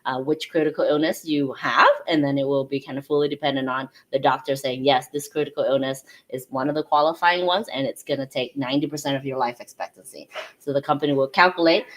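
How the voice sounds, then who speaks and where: She is a woman with a notably low voice. She talks like a consultant explaining something conversationally, pausing to check in with little questions as she goes. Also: poor audio. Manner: explanatory, often checks in with "right?". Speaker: insurance and financial consultant, guest.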